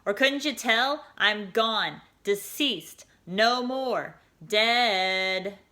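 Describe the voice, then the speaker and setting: A woman's voice: medium pitch, very slow, pushed and bright, voice pushed up. A woman voicing a frightened, moody teenage girl who sounds shaky and dramatic.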